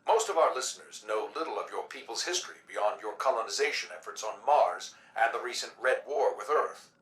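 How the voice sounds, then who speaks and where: An actor playing a polished, formal city news broadcaster, probing guests in a video game radio interview. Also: male voice, mid-range voice, expressive, a bit bright, reverberant, distant mic, natural voice, poor audio. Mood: probing, courteous. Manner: formal, measured announcer phrasing.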